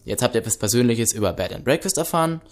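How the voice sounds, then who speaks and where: A man's voice: mid-range voice, animated, fast talker. 20 yr old guy, cheeky and upbeat, normal mid voice. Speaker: band member. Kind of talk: self-introduction.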